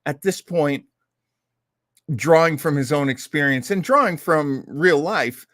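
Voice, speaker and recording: Male host, casual and rambling, slightly high voice with lively swings, dry close recording. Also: measured pace, no echo. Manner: rambling, hesitant, animated. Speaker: show host. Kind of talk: casual hosting of old-time radio show.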